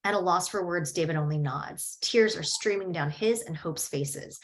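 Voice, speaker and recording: A woman narrates the stage directions of a screenplay in a steady, measured way. Her voice sits slightly low. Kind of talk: screenplay table read narration.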